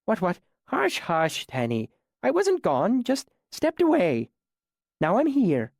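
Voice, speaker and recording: Old caretaker, weary yet patient and soothing, lifted high, dry close sound, voiced by a man. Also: huge pitch swings, slow pace, gravelly, no room sound, heavily acted. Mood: gentle. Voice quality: gentle, patient, tired at the edges.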